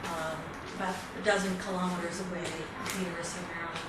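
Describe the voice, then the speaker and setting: A woman's voice: on the low side, level tone, quick pace. American woman lecturing in an echoey hall. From United States. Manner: chatty, self-correcting, teasing asides. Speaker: professor giving a guest lecture. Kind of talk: casual lecture to students. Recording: roomy, distant, noisy hall recording.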